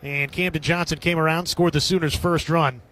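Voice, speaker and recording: A man's voice: a little high, steady tone, moderate pace, a bit bright. A man calling a baseball game as a radio play-by-play announcer, describing the action in short, clipped phrases. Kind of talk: live sports commentary.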